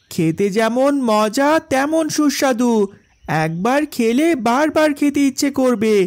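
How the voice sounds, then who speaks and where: A man voicing an earnest poor village boy who hawks goods in a Bengali cartoon story. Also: very high voice. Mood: eager hawking. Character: Raju, earnest poor village boy selling rice cakes. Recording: music behind the voice.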